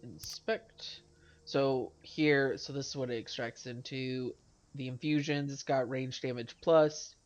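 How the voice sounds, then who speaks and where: A man's voice: mid-range voice, fairly even intonation, takes it slowly, highs cut off. Stuffy-nosed man narrating a game. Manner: rambling, self-correcting, talking through game stats. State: stuffy nose from a cold. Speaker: let's play host. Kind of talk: casual gameplay commentary.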